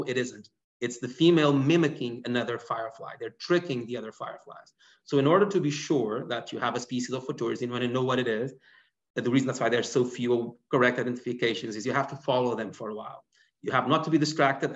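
A man in his thirties, originally from Portugal, answering audience questions as a guest biologist in an online lecture, talking animatedly. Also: mid-range voice. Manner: animated, gesturing while explaining. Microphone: laptop webcam mic on video call. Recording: dull lo-fi video call audio.

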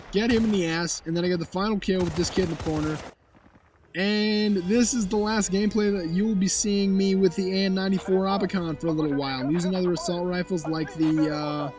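Hoarse young man commenting on gameplay. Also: high-pitched, a little mellow. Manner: blunt, swears, sarcastic asides. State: hoarse, losing his voice. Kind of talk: casual game commentary over footage.

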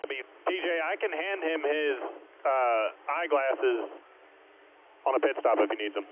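A man's voice: medium pitch, lively intonation, normal speed. Tinny radio voice of a male crew member. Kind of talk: team radio talk during a race. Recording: tinny race team radio with noise.

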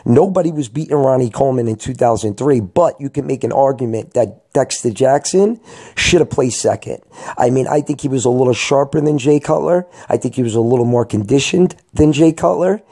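A man with a voice hoarse from a cold, giving emphatic, colloquial commentary as an enthusiastic vlogger. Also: medium pitch, brisk, warm tone.

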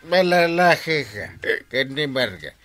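A meddling Ilocano uncle in a comedy radio drama. He blusters and stammers, drawing words out slowly while his pitch leaps wildly, higher than most men. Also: big pitch swings, over music. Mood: blustering, coaxing. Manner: exaggerated, stammering. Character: meddling, blustering uncle giving bad courting advice.

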